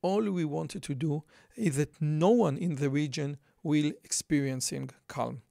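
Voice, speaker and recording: A man's voice: fairly high pitch, measured pace, gravelly, dark tone, dry recording, clean studio sound. A man with a thick Israeli accent, hosting a podcast and giving earnest commentary on a news article, repeating his points for emphasis. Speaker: podcast host.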